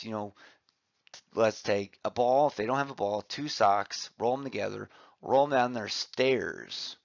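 A man presents as a gym teacher, chatting informally and with enthusiasm over slides. His voice is middling in pitch with a good deal of creak. The recording is dry and slightly dull. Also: no room sound. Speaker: physical education teacher, presenter. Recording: slightly muffled, dull audio.

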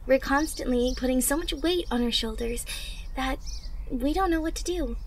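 A young woman playing a caring, encouraging teenage superhero in training, speaking gently and earnestly in an anime-inspired ASMR roleplay. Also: high voice, moderate pace. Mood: gentle, reassuring. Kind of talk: ASMR roleplay, acted monologue to listener. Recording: noisy ambience with birdsong. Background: birdsong, outdoor ambience.

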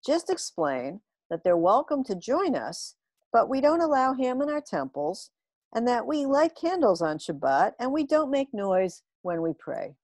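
A woman's voice: fairly high pitch, big pitch swings, unhurried, darkish tone, no echo, pitched above the performer's own voice. A woman voicing a commanding female superhero rabbi who gives firm orders.

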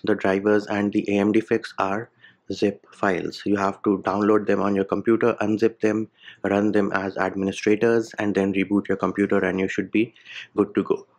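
A man narrating a screen-recorded software tutorial, explaining each step plainly with casual asides. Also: on the low side. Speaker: tech tutorial host. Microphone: voice-over over screen capture.

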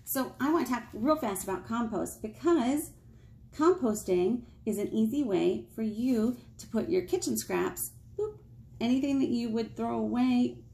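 A woman's voice: fairly high pitch, expressive, measured pace, creaky, dark tone. A woman in her thirties, a children's librarian leading storytime, speaking directly to preschoolers with questions and simple explanations. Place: small indoor room at a library.

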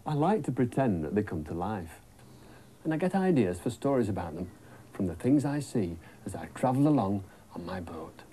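A middle-aged British man presenting and telling stories to young children in simple, clearly phrased sentences. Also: fairly low pitch, big pitch swings, moderate pace, warm tone, slight background noise. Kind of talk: gentle scripted storytelling to young children.

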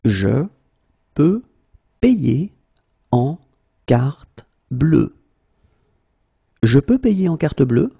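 A man with a gravelly, husky voice teaches phrases in his native French. He speaks very slowly, with a lively rise and fall, saying each line whole and then breaking it into single words. His tone is dark and mellow. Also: medium pitch, muffled recording. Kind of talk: slow phrase-by-phrase language drill.

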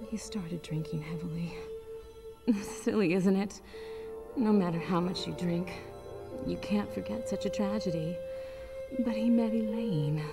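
An actress playing a gentle, weary old wife in a video game, sorrowful and soothing, in a breathy near-whisper. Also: female voice, lowish voice.

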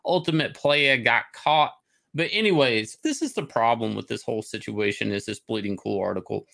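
Man, middle-aged, low-key gravel and fry in the voice, rambling opinionated host commentary. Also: moderate pace, bright, forceful tone. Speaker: host of a comics talk show. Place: indoor room, on a video call.

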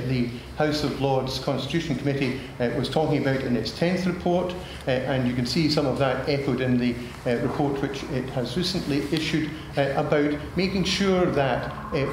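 Older man, panel speaker, hesitant and measured, constant fillers, very echoey hall, audible room noise. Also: a little high. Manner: halting, many filler sounds, measured. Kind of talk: policy panel talk. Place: large formal room with audience.

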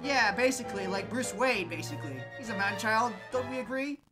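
A woman's voice: fairly high pitch, expressive, a little fast, natural voice. A woman voicing a cheeky, apologetic, hapless henchman in an improvised comedy game dub, rambling playfully. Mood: pleading, polite. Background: game music.

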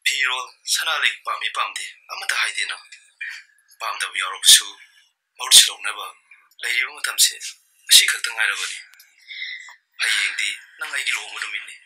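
A man playing a remorseful young man at the point of death, pleading in a strained, breathy voice in an audio play. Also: lowish voice, racing, long pauses, pushed and bright, low-quality recording. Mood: tender. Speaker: radio drama actor. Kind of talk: Manipuri audio play acting. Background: music score.

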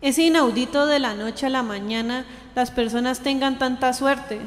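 A young woman playing an earnest, anguished sister in an amateur radio drama, with a halting, plaintive delivery. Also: high voice, lightly acted. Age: young adult. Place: indoor green-screen studio. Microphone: handheld dynamic microphone shared close up. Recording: reverberant hall-like echo.

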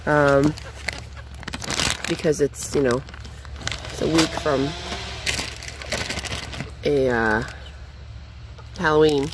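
A young woman chatting casually while she feeds her backyard chickens, rambling and cooing at them in a high voice, recorded on a handheld phone outdoors. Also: extremely slow, pauses often, background noise. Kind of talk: casual off-the-cuff vlog chat.

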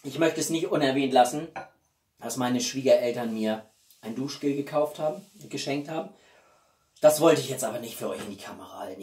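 A man in his forties chatting casually to viewers as a video host, with an expressive, emphatic delivery and dramatic pauses. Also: mid-range voice. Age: 40s. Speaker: YouTube host. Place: small living room indoors. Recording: roomy echo, distant mic.

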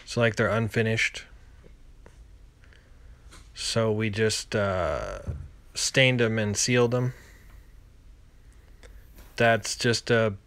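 A man in his thirties, a landlord giving a casual, halting, unscripted walkthrough voiceover. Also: fairly low pitch, steady tone, a bit bright, clear, resonant tone, no echo. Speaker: landlord and home renovator.

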